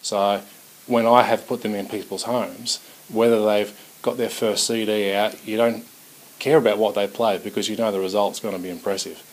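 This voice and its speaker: A man's voice: on the low side, fairly even intonation, moderate pace, rough recording. A man speaking casually and unscripted, giving a product testimonial as an installer.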